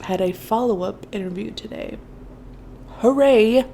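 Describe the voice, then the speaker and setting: Slow-paced woman, casual mukbang chat. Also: on the high side, creaky, warm tone, slight background noise. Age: early 30s. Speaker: mukbang vlogger. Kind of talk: casual chatty storytime while eating.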